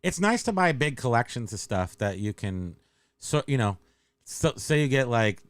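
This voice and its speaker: Playful man with swooping, animated intonation. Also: medium pitch, normal speed, no echo. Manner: playful, goofy, teasing. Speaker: co-host of a livestream. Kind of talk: casual joking livestream chat.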